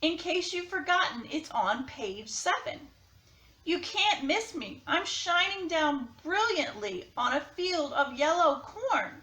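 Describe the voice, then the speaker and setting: Woman reading as a proud, high-pitched yellow crayon. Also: big pitch swings, takes it slowly, roomy sound, exaggerated voice. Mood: indignant, boastful. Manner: boastful, insistent. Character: proud, bickering yellow crayon writing a complaint letter. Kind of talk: read-aloud storybook character.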